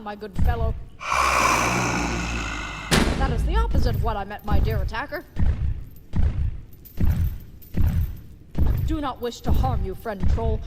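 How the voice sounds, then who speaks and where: A woman's voice: fairly high pitch, normal speed, pauses often, big-room sound, over loud music, natural voice. A woman playing an earnest, dim-witted young knight who speaks in formal, chivalrous phrases in an audio drama. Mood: urgent, gallant. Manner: formal, stilted, uncontracted, chivalrous speech.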